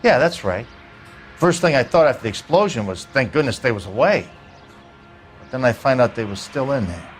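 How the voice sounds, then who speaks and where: Casting: male actor for middle-aged suburban witness, plain-spoken, mid-pitched with animated inflection, game dialogue. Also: moderate pace, soft background music, close to an everyday voice. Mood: blunt, defensive. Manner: plain-spoken, colloquial, grudging. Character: blunt middle-aged working-class 1940s suburban neighbour.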